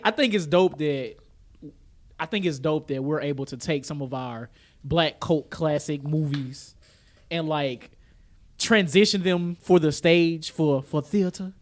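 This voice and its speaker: Animated, higher-voiced American man bantering casually. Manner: informal and slangy, quick to chuckle. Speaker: podcast co-host.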